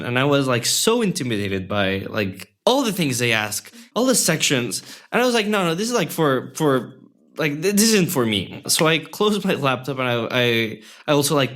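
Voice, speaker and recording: A young man whose first language is Spanish talks as a guest in a relaxed interview. He stays friendly and thoughtful, often restarting his sentences as he works through an idea. His pitch sits right in the middle for a man. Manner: friendly and reflective, with many restarts and fillers. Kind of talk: casual interview conversation.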